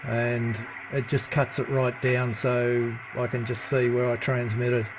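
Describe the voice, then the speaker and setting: A man giving a casual hands-on demonstration as a radio hobbyist, with a low-key, halting delivery. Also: level tone. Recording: noisy room with radio static. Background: CB radio chatter and static.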